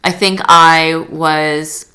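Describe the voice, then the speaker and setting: A woman's voice: deep voice, level tone, very slow, bright, forceful tone. A young woman talking candidly as a podcast guest, with lots of fillers and laughs. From Canada. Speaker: guest, yoga studio founder. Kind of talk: casual interview chat.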